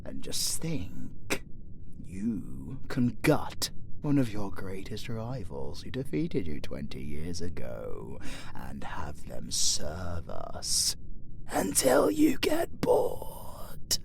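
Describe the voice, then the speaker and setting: A British man playing a gleefully evil, flirty undead necromancer in an audio roleplay, theatrical and foul-mouthed, swinging between sly whispers and shrill shrieks. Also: wildly swooping pitch, very slow, creaky, a little mellow, slight background noise, full character voice. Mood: gleeful, wicked. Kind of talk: audio roleplay acting spoken to the listener.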